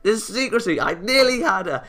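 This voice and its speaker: Bright-voiced man reading a visual novel aloud. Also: high-pitched, moderate pace. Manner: animated, exasperated outbursts. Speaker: let's play host.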